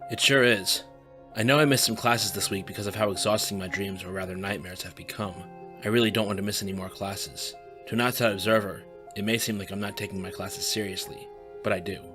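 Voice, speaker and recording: A man voicing a weary young student in an audio drama, low-voiced and quick. He talks bluntly, clipped and worn out, over soft music. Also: fairly even intonation, close to an everyday voice. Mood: tired. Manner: blunt, swears when tired. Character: young male student with magic powers, weary.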